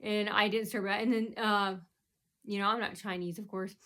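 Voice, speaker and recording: A woman's voice: medium pitch, fairly even intonation, moderate pace, fairly bright tone. A woman from the United States talking in a rambling casual monologue, full of ums and uhs and constantly circling back with "so anyway.". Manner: meandering, keeps restarting with "so anyway"; heavy fillers, um and uh. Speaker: vlogger.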